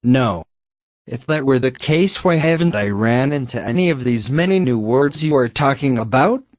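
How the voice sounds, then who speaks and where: A man's voice: mid-range voice, animated, normal speed, dry recording, clean studio sound. A man, a native Finnish speaker, giving blunt, sarcastic reaction commentary on a video and swearing now and then.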